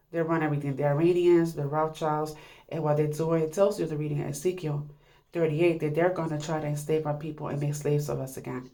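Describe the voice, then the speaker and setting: Congested woman with a low, mellow voice. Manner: urgent, repetitive, warning tone. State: congested from a cold. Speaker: online commentator. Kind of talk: casual monologue to viewers.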